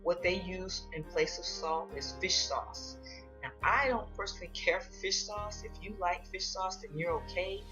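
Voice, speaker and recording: A woman hosting a how-to video, explaining things in a casual, unhurried, plain-spoken way. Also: on the low side, over music, rough recording. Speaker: host of a how-to video on ordering food.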